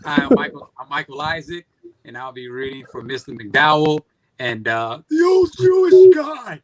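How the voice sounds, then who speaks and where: A man's voice: fairly high pitch, very animated, normal speed. A man casually introducing himself as an actor in a table read.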